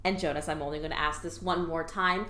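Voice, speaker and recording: A woman's voice: low voice, fairly even intonation, quick pace, bright, forceful tone. A woman playing a sarcastic, weary alien office worker who scolds and trains her lazy new coworker in a comedic audio drama. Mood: exasperated. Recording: roomy echo.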